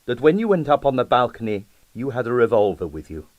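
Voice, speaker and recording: A man voicing a shrewd, smooth-talking police detective in an audiobook, questioning cordially and with gentle insistence. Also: mid-range voice, sing-song, quick pace, no echo, narrow, tinny audio, natural voice. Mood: bluffing.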